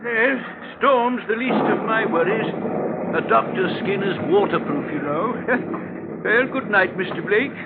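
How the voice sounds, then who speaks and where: High-voiced, jovial country doctor. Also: male voice, expressive, a little slow. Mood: genial. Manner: chuckling, hesitant, chatty. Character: jovial, needling country doctor, eager to advise. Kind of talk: old radio drama acting. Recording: tinny, noisy old radio recording.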